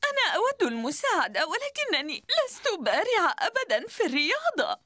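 A woman's voice: very high voice, wildly swooping pitch, a little fast, slight background noise, full character voice. A woman playing a fussy, theatrical, easily flustered middle-aged lady in a dubbed children's show. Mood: coy, apologetic. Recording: loud music behind voice.

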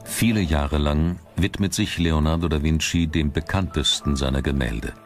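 A man's voice: a bass voice, normal speed. A man reading scripted narration for a documentary as its narrator. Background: background music.

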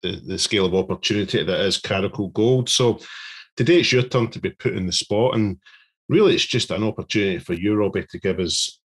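A man's voice: lowish voice, fairly quick, slightly rough. A man co-hosting an investor podcast, chatty and stumbling over repeated words. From Scotland. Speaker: podcast co-host. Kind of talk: casual podcast chat.

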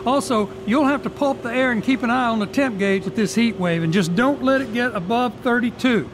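An actor playing a brisk, slick trucking dispatcher in a naturalistic audio drama. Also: male voice, extremely high pitch. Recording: noisy ambience with vehicle sounds.

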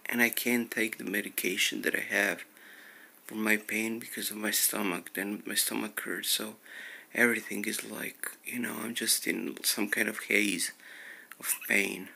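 A man giving a slow, halting personal update to his viewers, speaking in pain and close to tears, often dropping to a near whisper. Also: on the low side, fairly even intonation, vocal fry, bright, forceful tone. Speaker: vlogger.

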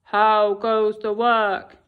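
A woman voicing a lazy old male farmer who slowly calls out demands from his bed. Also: monotone, clean tone, narrow, tinny audio. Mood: demanding. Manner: slow, booming, demanding.

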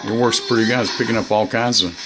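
A man with a fairly low voice chats informally as a hobbyist. He explains in an unhurried, halting way, wandering from point to point as if filming at home with his gear. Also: fairly even intonation, loud music behind the voice, poor audio. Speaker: shortwave radio hobbyist vlogger. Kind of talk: casual hobby chat. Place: indoors, small room beside radio gear.

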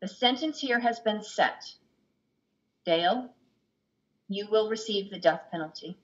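A woman's voice: medium pitch, a little slow, close to an everyday voice. A woman playing a stern, commanding judge in a stage play reading, giving imperious, emphatic orders. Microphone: video call. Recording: dull video-call audio.

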